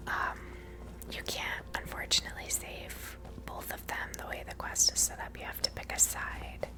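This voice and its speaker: Soft whispering ASMR game host. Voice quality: unvoiced breathy whisper. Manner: casual, unhurried, thinking aloud. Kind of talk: whispered ASMR game playthrough, unscripted commentary.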